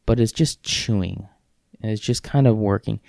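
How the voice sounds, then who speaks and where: A man hosting a solo podcast, rambling in a casual, self-deprecating way with halting restarts and a sniffle. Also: lowish voice, gravelly, darkish tone, no room sound, clean studio sound. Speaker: podcast host.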